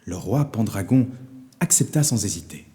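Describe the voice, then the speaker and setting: A man narrating a scripted fairy tale for children as a storyteller, measured and with dramatic pauses. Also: fairly low pitch, lively intonation, dark tone.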